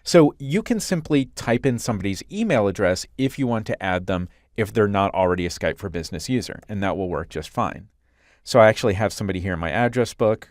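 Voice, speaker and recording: A man working as an instructor, narrating a software screencast in a clear, step-by-step explanatory way. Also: on the low side, dry recording, clean studio sound.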